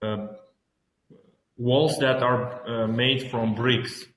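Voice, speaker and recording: A man with an Armenian accent presents a project over a video call. He talks slowly and haltingly, searching for words, in an average-pitched voice. The room sounds very echoey. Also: steady tone, fairly bright tone. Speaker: student presenting project, schoolteacher.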